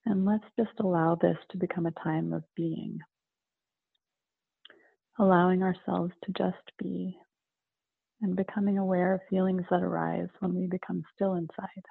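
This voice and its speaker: A woman leading a guided meditation in a calm, soothing manner, with gentle pauses between phrases. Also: on the low side, gravelly, husky, no echo. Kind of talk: slow guided meditation narration. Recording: narrow phone-like, muffled sound.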